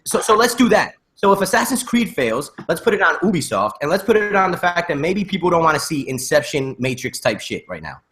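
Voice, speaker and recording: A man talks rapidly in a fairly high voice as a panelist in a casual debate. He is persuasive and informal, building his argument one point after another. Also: sing-song, a bit bright. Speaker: podcast panelist.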